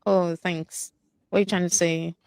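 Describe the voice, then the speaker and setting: A woman from Ghana, a guest, playful and laughing as she pushes back gently. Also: fairly low pitch, level tone, normal speed, vocal fry, no echo. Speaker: guest in clip.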